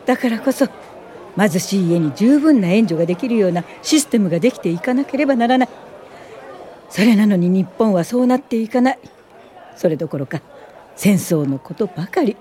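Woman acting a resolute, mellow-voiced social worker mentor. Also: mid-range voice, animated, brisk, some chatter behind, close to an everyday voice. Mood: indignant. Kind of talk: radio drama acting. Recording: studio radio drama with music underneath.

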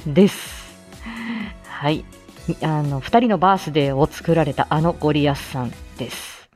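A woman's voice: sits low, moderate pace, a little mellow, faint music behind. A woman hosting a live audio show, chatting casually and politely through morning announcements.

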